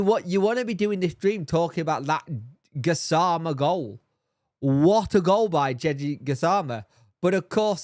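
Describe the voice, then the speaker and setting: A man's voice: fairly high pitch, no room sound, studio quality. Bunged-up, nasal man chatting on a stream. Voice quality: nasal and throaty. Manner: rambling, repeats himself, answers chat by name. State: congested, bunged up. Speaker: fan channel host reading live chat. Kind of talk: casual live-stream chat.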